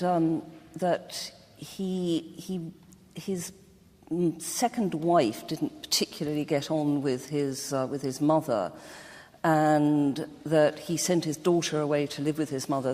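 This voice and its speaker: A woman speaking as a guest in a reflective literary discussion, with a halting, measured delivery. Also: low-pitched, a little mellow. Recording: very reverberant hall.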